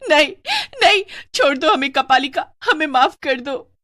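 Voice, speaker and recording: Woman playing an outspoken, high-voiced young sister-in-law. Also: unhurried, no room sound, studio quality. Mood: pleading. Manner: expressive, melodramatic. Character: outspoken young village sister-in-law, bold and quick-witted.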